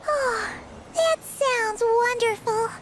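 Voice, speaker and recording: A woman voicing a tiny, excitable childlike fairy companion in a video game, squeaky and eager. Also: slow, over loud music. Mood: excited, admiring. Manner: bubbly, exclaiming, eager.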